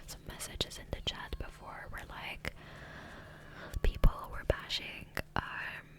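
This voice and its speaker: A woman whispering gently in a casual, unhurried ASMR ramble, close to the microphone. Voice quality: soft unvoiced whisper. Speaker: ASMR gaming creator. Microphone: close USB condenser microphone.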